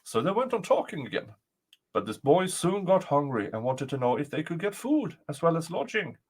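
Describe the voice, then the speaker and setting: A man reading a folktale aloud as a storyteller, with deliberate, dramatic phrasing. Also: on the high side, sing-song, husky.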